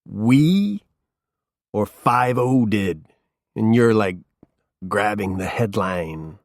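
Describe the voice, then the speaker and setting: A man's voice: fairly low pitch, animated, very slow, no room sound, studio quality, exaggerated voice. A man voicing a stoned, drunk middle-aged slacker with a lazy stoner drawl that wanders between tones. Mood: drunk, mocking. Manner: slurring stoner drawl with shifting put-on tones.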